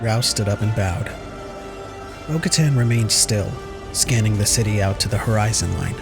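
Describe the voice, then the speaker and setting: A man's voice: fairly low pitch, lively intonation, moderate pace, music in the background. A man reading scripted dramatic narration as the narrator of an audio story.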